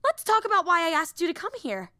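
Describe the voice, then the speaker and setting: A woman voicing an excitable, nerdy young female superhero in an audio roleplay, earnest and rambling, often apologizing and interrupting herself. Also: extremely high pitch, fast talker, no room sound, clean studio sound, exaggerated voice. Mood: earnest, enthusiastic. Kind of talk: roleplay audio drama acting.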